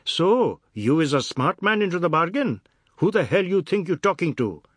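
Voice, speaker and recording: A man's voice: on the high side, very animated, normal speed, fairly bright tone, slightly rough, no room sound, clean studio sound, pitched above the performer's own voice, exaggerated voice. A man voicing a sneering, easily riled fat labourer in a story reading. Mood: bullying. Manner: taunting, creole grammar.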